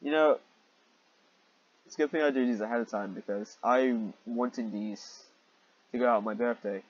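A man with a mid-range voice reads fan fiction aloud and comments on it with weary sarcasm. He clears his throat often between phrases. The recording is dull and slightly muffled, like a cheap microphone. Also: mid-range voice, moderate pace. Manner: exasperated, sarcastic, sighing asides. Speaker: host and reader of fan fiction.

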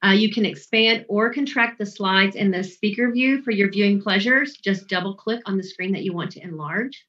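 A woman moderating an online event, reading housekeeping notes and speaker introductions in a measured way over a video call. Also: mid-range voice, fairly bright tone. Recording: dull lo-fi video call audio.

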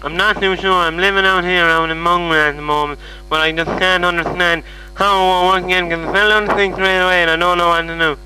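A man's voice: high-pitched, level tone, fast talker, hardly any pauses, pushed and bright, slight background noise. A man with an Irish accent voicing a confused, apologetic, hard-of-hearing middle-aged caller, hesitant and repeating himself in a prank phone call. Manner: muddled, hesitant, repetitive. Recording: humming phone call recording.

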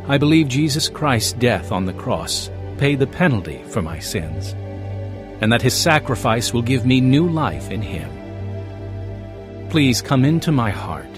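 A man hosts a scripted radio program in a mid-pitched voice with animated intonation. He enunciates deliberately, and light music plays behind him. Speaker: program announcer and host.

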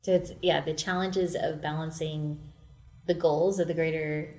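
Low-voiced female co-host, echoey room. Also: fairly even intonation, measured pace, darkish tone. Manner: clarifying for listeners. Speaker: podcast co-host. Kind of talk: podcast interview.

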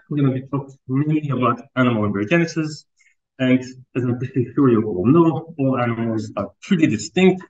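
A man's voice: medium pitch, highs cut off. A man in his thirties from Palestine giving a university seminar to students and postdocs, talking loosely with fillers and restarts. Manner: conversational, with frequent fillers and restarts. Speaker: research group leader giving a seminar. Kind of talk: academic seminar talk. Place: lecture room.

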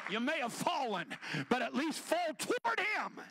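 A man in his fifties preaching a sermon in a church sanctuary, emphatic and often shouting, with quick joking asides. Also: extremely high pitch, very animated, creaky, noisy background. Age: 50s. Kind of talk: energetic Pentecostal sermon. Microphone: handheld microphone.

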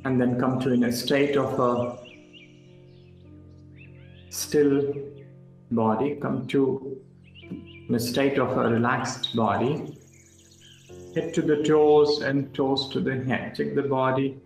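Male meditation guide, mid-pitched and slow, calm and instructional, roomy sound with music beneath. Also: creaky. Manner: calm, instructional, short phrases with pauses. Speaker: yoga instructor. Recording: roomy echo with music underneath.

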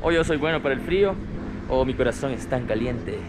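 A young man from Latin America excitedly vlogging his travels, talking to viewers as friends on an action camera held at arm's length. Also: medium pitch, brisk, noisy background. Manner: enthusiastic, exclaiming, addressing viewers as friends. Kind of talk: casual travel vlog. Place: cable car and mountain-top terrace, indoors and outdoors. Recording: music playing under the voice.

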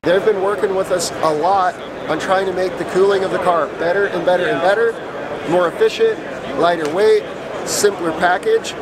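A young man hosting a vlog in an upbeat, excited way, filmed with the camera's mic. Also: high voice, moderate pace. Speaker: vlog host. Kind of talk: enthusiastic vlog presenting. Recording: loud background music.

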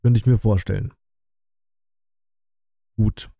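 Dark, mellow-voiced male streamer. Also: on the low side, fairly even intonation, takes it slowly, gravelly, no room sound, studio quality. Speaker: streamer hosting a reaction stream. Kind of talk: casual livestream chat. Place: small indoor home streaming room. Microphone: close desk microphone on an arm.

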